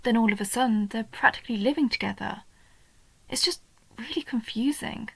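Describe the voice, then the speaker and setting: A woman voicing a young female goblin in a roleplay audio, a nerdy, sweet and curious bookworm who talks earnestly and eagerly, sometimes softly breathy. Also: a little high, brisk, no room sound, exaggerated voice, low-quality recording. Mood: fascinated, earnest. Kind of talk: roleplay audio, intimate one-sided scripted dialogue.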